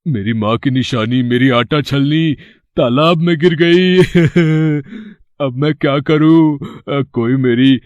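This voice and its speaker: A man's voice: fairly high pitch, normal speed, dry recording, highs cut off, close to an everyday voice. A man voicing a greedy elder brother in a storybook tale, theatrical and falsely sweet, with whiny pleading. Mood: fake sobbing. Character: greedy, scheming elder brother faking grief.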